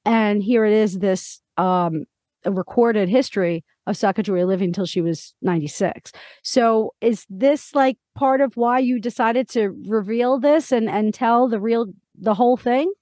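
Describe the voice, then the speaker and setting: High-voiced woman hosting a podcast, dry sound. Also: moderate pace, warm tone, dry recording. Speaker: podcast host. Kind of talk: scripted introduction, then conversational interview.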